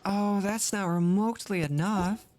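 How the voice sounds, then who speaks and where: A woman's voice: mid-range voice, unhurried, a little mellow. A woman giving casual gameplay commentary, muttering to herself as she streams. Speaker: video game streamer.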